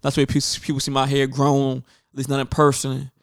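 A man's voice: mid-range voice, monotone, a little fast, vocal fry, clean tone, no room sound, clean studio sound. A man co-hosting a casual podcast, telling long rambling stories and putting on quoted voices. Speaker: podcast co-host.

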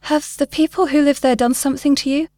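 A woman's voice: sits high, fairly even intonation, a little fast, darkish tone, dry recording, voice pushed up, lightly acted. Woman reading as a guarded, worried ordinary man. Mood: tentative, searching. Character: worried, skeptical ordinary man seeking answers. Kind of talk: short story character dialogue.

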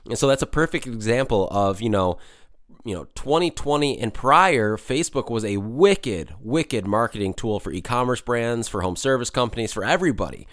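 A man hosting a solo podcast, slightly hoarse from a cold, talking in a casual, rambling way with many fillers. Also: mid-range voice, expressive, normal speed, fairly bright tone, no room sound, clean studio sound. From Michigan. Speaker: podcast host.

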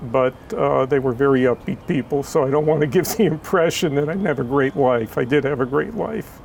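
A woman with an American accent giving emotional family testimony in an interview, tearful, telling it in a halting, emphatic way. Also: very deep voice, gravelly, a little mellow, slight background noise, rough recording. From born in Israel, raised in Los Angeles. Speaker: interview guest, child of Holocaust survivors.

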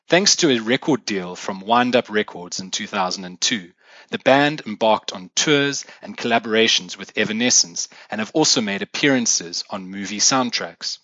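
A man's voice: on the low side, pushed and bright, no room sound. South African man hosting a music show. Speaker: podcast host. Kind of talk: scripted music commentary. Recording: dull lo-fi dry recording.

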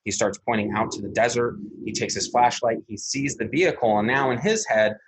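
A man with a husky voice, an interview guest recounting events methodically, step by step, with frequent pauses. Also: mid-range voice, poor audio. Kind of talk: recounting a personal incident in an interview.

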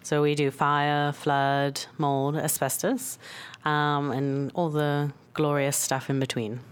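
Low, smooth-voiced woman guest. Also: level tone, slow. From Canada, raised in England. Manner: chatty, plainspoken. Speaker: business owner guest. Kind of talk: casual interview.

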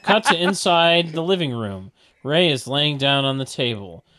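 A man's voice: a little high, lively intonation, unhurried, bright, forceful tone. A man narrating a table read, reading stage directions and adding sarcastic comic asides in a mock-announcing way.

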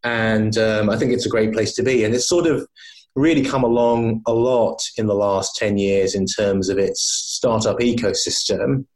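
A man's voice: lowish voice, steady tone, moderate pace, darkish tone. A middle-aged man from London with an English accent, a company founder answering interview questions in a thoughtful way, with frequent restarts. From London, England. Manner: thoughtful, hesitant with many restarts. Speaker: company founder, podcast guest. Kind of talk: conversational interview.

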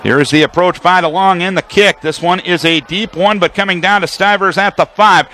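A man's voice: high voice, fairly even intonation, normal speed, sharp tone. An American man calling football play-by-play as a radio announcer, in precise, detailed, clipped phrases. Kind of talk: live sports play-by-play commentary.

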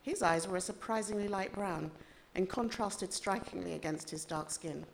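Friendly woman author from Ghana, low voice. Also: moderate pace, gravelly, slight background noise, poor audio. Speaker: author reading her novel. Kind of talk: chatty introduction then reading aloud at a live literary event.

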